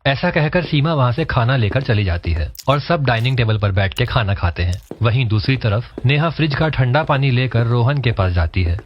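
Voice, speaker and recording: A man's voice: mid-range voice, expressive, moderate pace, hardly any pauses, lo-fi sound, slight background noise. A man reading scripted narration for a cartoon family story.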